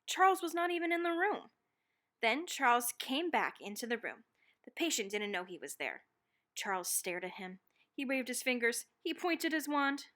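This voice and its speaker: A woman narrates a children's picture book in a fairly high, clearly bright voice. She reads expressively, leaning on key phrases with dramatic, deliberate emphasis. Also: expressive. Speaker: read-aloud narrator.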